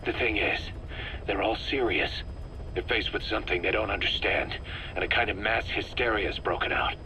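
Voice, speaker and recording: An actor voicing a bitter, suspicious military officer in a video game, stern and accusatory. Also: male voice, moderate pace, sharp tone, rough recording. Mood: grim, disapproving. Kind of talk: dramatic video game dialogue. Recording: tape recording with faint rumbling noise.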